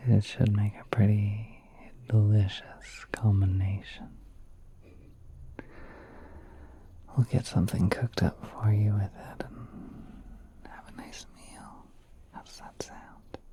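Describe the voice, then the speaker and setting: Slow, dark-toned whispering man as a gentle spider prince. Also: lowish voice, level tone, exaggerated voice. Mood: soothing, attentive. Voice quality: breathy, whispery. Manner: soft, unhurried, reassuring, hesitant pauses. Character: gentle soothing spider prince, courteous and caring. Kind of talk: whispered ASMR roleplay.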